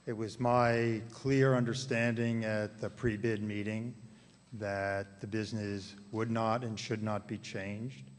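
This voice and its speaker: A man addressing a town council meeting, measured and a little awkward as he explains his position. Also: on the low side, little pitch movement. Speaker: bidder responding to public comment. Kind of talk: formal statement at public meeting. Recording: echoey hall, faint hum.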